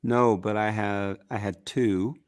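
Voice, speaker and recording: A man with a raspy, gritty voice, talking casually as a musician guest in an interview, rambling with fillers. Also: on the low side, slow, no echo, studio quality. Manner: relaxed, rambling with fillers and restarts.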